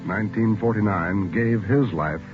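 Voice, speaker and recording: A man's voice: lowish voice, normal speed. A man with an American accent reading solemn scripted announcements in 1940s radio style. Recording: muffled vintage radio recording, loud music.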